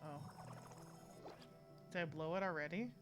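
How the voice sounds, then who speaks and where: A woman's voice: sits low, steady tone, moderate pace, over loud music. A woman streaming a game, giving casual, chatty, playful live commentary. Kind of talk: casual live gameplay commentary, reading dialogue choices aloud.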